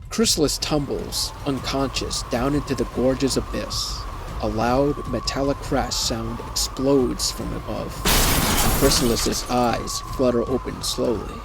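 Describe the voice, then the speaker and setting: A man reading scripted story narration in a measured, descriptive manner. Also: mid-range voice.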